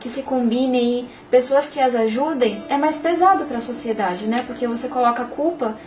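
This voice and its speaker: A young woman from Bahia in Brazil, talking in a casual interview, animated and often tagging on "sabe?". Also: a little high, far from the mic. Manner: fast, animated, peppered with "sabe?". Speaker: interview guest.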